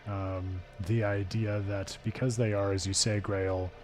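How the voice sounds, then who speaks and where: A hoarse man hosting a live game stream, talking through his thoughts in a thoughtful, digressive way with frequent restarts. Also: fairly low pitch, little pitch movement, unhurried, a little mellow, soft background music. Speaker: streamer and host.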